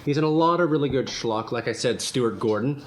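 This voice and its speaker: A man's voice: medium pitch, brisk. A man hosting a casual podcast among friends, rambling and laughing often. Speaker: podcast host. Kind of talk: casual chat. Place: room with friends. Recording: echoey room, faint ambient noise.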